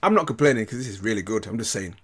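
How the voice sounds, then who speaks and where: A man giving a casual, excitable reaction commentary, often repeating short phrases. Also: on the low side, rapid-fire, a little hiss or hum. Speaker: reactor reviewing game cutscenes. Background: faint game music.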